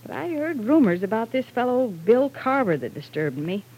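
A woman's voice: fairly high pitch, very animated, normal speed, a little mellow, breathy edge, heavily acted, poor audio. An actress playing a worried, caring middle-aged mother in 1940s radio soap style. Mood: anxious, motherly.

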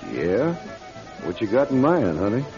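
An actor playing a rootless traveling stranger, laconic and folksy, in a radio drama. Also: male voice, fairly low pitch, sing-song, measured pace. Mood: guarded, casual. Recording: dull radio recording, music behind.

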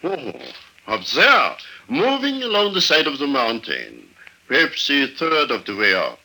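An actor playing a big, happy French innkeeper in an old-time radio drama, with an effusive manner and a booming voice. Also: male voice, wildly swooping pitch, a little slow, pushed and bright, heavily acted, low-quality recording. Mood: obliging. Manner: effusive, peppering talk with French. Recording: vintage radio drama recording.

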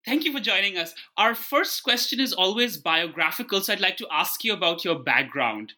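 A man's voice: high-pitched, expressive, moderate pace, bright, forceful tone. A man hosting an interview podcast, politely and formally introducing his guest and asking questions.

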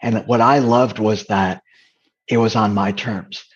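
A soft-spoken man with a breathy, slightly low voice talks openly in an interview. He hesitates often, restarting his sentences and leaning on fillers. The audio is dull and muffled. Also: fairly even intonation, a little slow. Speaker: interview guest, comedian and dating coach. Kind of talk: candid conversational interview.

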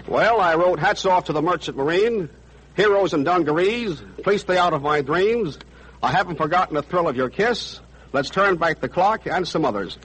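Male seaman, scripted wartime radio guest, slightly high pitch, roughened tone. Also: lively intonation, normal speed, fairly bright tone, low-quality recording. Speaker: merchant marine chief steward. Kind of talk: scripted 1940s radio interview answers.

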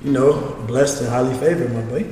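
A man in his twenties hosting a casual podcast, talking in quick slangy street speech. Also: medium pitch, dark tone, a little hiss or hum. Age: 20s. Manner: slangy, quick, self-promoting street talk. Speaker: podcast host. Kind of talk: casual chat. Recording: echoey hall, mic set far off.

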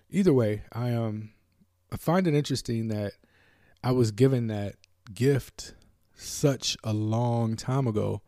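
A man from the United States hosting a podcast, talking alone in a reflective, halting way with many fillers and restarts. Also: lowish voice, creaky, dark tone, no echo, clean studio sound. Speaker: podcast host. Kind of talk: reflective solo talk.

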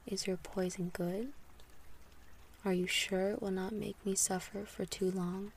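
A woman voicing a small, gentle boy prince who is grave and sad, in a soft whispered reading. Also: mid-range voice, level tone, measured pace, a little hiss or hum, close to an everyday voice. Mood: grave, hushed.

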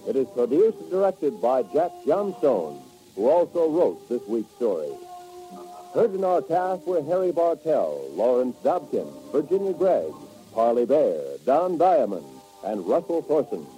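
A man's voice: mid-range voice, very animated, measured pace, dark tone, rough recording. A man reading the closing credits as announcer in a formal radio style. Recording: old radio broadcast with music.

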